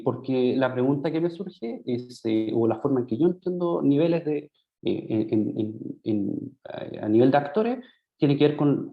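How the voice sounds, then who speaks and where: A man with a Chilean Spanish accent giving academic questions at an online conference, hesitant, with many fillers and restarts. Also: on the high side. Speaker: conference discussant. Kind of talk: academic Q&A comments. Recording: dull lo-fi video call audio.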